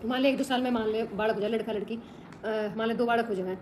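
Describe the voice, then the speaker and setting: A woman's voice: a little high, steady tone, brisk, darkish tone, a little hiss or hum. A woman, playfully scolding her son during a casual family chat. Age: 50s. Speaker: vlogger's mother.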